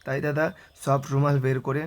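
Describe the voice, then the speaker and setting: A man's voice: mid-range voice, completely flat delivery, fairly quick, a little hiss or hum. A man narrating a vlog voice-over, mixing in English words. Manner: mixes English words into speech. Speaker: motorbike vlogger.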